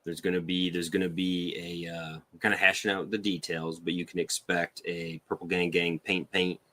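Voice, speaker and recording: A man with a deep voice talks as a guest on a casual show. He explains things informally, pausing and restarting as he finds his words. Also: level tone, pushed and bright. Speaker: podcast guest, figure sculptor. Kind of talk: casual interview chat.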